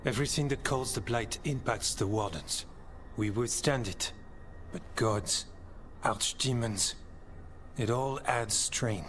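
An actor playing an earnest young male elf alchemist in a video game, speaking in a thoughtful, measured way. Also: medium pitch, a bit bright, a little hiss or hum. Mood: uneasy, thoughtful. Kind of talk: video game dialogue acting. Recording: music behind the voice.

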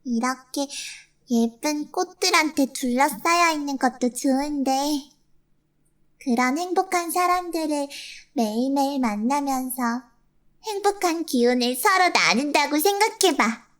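A woman's voice: sits high, animated, unhurried, fairly bright tone. A woman voicing a cheerful ten-year-old girl who dreams of running a flower shop, speaking sweetly. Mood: dreamy.

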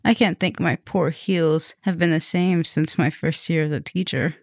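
A woman playing an affectionate, teasing, dominant vampire professor in a soft, intimate ASMR roleplay. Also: sits low, steady tone, normal speed, dry recording, clean studio sound. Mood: casual, affectionate.

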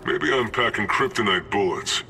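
A man's voice: lowish voice, lively intonation, normal speed, vocal fry, sharp tone, soft background music, heavily acted. A man playing a wisecracking hired killer in a video game, dry, smug and threatening. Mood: cocky, threatening. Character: cocky male mercenary gunman, wisecracking hired killer. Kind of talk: video game character acting.

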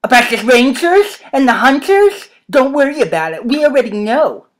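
A moody, defiant teenage boy voiced by a woman reader in an audiobook story. The voice is raised in volume and pitch above the narration, with bitter, sarcastic edges. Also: sing-song, moderate pace. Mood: defiant, dismissive. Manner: harsh, sarcastic, growling retorts.

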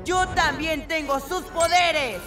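A woman's voice: sits high, slow. A woman voicing a scheming, bossy boy inventor villain in a theatrical cartoon dub, in Latin American Spanish. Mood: gloating. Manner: theatrical, gloating. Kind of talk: cartoon dubbing. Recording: loud music behind the voice.

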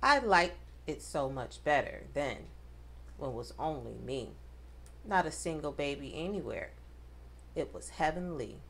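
A woman reading a rhyming picture book aloud to children, with expressive, dramatic emphasis. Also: low-pitched, a little slow, gravelly, breathy edge. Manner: addresses children directly, dramatic emphasis on rhymes.

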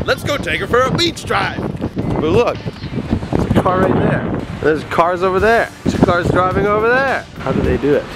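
A man's voice: high-pitched, big pitch swings, moderate pace, very noisy. A young man bantering casually to a handheld camera as a travel vlogger. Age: young adult. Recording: music behind outdoor camera talk. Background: clear music.

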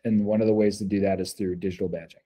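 An American man in his forties giving earnest, measured testimonial answers as a school coordinator, on a video call from an office meeting room. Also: fairly low pitch, flat delivery, dark tone, husky. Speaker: school district program coordinator. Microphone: laptop video call.

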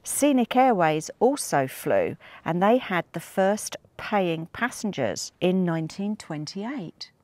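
A woman's voice: on the low side, expressive, slow pace, hardly any pauses, heavy background noise. A woman casually narrating a visit to an old airport outdoors. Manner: chatty, curious, wondering aloud. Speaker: travel vlogger.

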